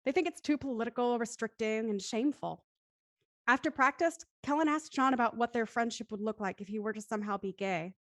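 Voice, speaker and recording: Female host for streamed play reading. Higher-pitched, articulate, formally polite, very dry recording. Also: fairly quick, fairly bright tone, dry recording. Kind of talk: scripted recap and live show hosting.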